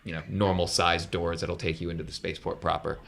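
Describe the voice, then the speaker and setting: A man's voice: sits low, level tone, fairly quick, vocal fry, a bit bright. A man acting as game master, narrating a tabletop role-playing game in an informal, conversational way. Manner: informal, conversational scene-setting.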